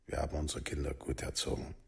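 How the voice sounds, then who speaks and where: A stubborn, defensive father in a radio play. His voice is extremely deep with a gravelly creak, and he speaks tersely. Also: level tone, fast talker, darkish tone, full character voice. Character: Jürgen, stubborn, defensive father of accused sons. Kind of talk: documentary radio play monologue.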